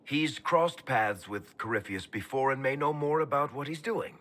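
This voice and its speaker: An actor voicing a wry, easygoing dwarf companion in a fantasy game, speaking hesitantly with small stammers. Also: male voice, mid-range voice, moderate pace, natural voice. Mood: sheepish. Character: wry male dwarf storyteller, easygoing and evasive. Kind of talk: video game dialogue acting. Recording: game audio with soft music behind.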